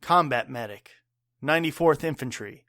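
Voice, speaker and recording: A gruff but caring bartender dad, played by a man, in an audio drama. He is plain-spoken and wry, recorded dry and close. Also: a little high, moderate pace, pushed and bright, no echo. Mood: guarded. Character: gruff, caring bartender father and former army medic.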